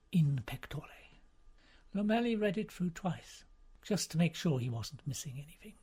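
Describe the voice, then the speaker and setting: Husky male audiobook narrator. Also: on the high side, darkish tone. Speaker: audiobook reader. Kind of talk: scripted literary narration.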